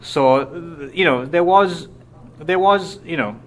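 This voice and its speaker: A man's voice: on the high side, slow pace, a bit bright. Dry, self-mocking man on a podcast. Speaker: podcast co-host and author. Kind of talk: casual chat.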